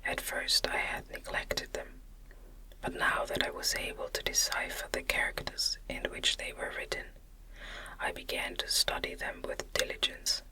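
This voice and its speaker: A woman reading a gothic novel as an ASMR narrator in a gentle, breathy, unvoiced whisper, close to the microphone. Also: poor audio. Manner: gentle, deliberate literary reading. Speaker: audiobook narrator.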